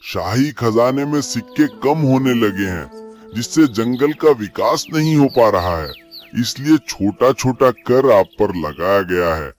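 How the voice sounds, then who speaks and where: Male actor, sly greedy fox minister, cartoon fable, slow smooth persuasion, music underneath. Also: medium pitch, close to an everyday voice. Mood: placating. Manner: calm, persuasive, deferential explaining. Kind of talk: animated animal fable dialogue.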